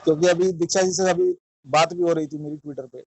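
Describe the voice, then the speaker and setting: भारतीय पुरुष इंटरव्यूअर, थोड़ी ऊँची आवाज़. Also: male voice, fairly high pitch, fairly even intonation, a little fast, rough recording. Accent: Indian. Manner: probing, instructive, mixes in English words. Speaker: interviewer and host of a channel. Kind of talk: phone interview, questioning a caller.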